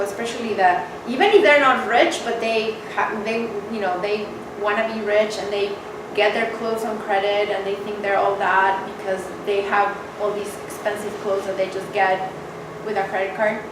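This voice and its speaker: A woman from Mexico, a guest speaker, telling a personal story to an audience in a candid, animated way. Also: mid-range voice. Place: event hall with audience. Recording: echoey hall, mic from far off, noisy.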